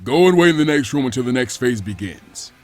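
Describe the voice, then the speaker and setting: A man's voice: mid-range voice, lively intonation, fairly quick, a little mellow, soft background music, voice pushed down, lightly acted. A man voicing a stern older male exam proctor in a story, commanding and clipped.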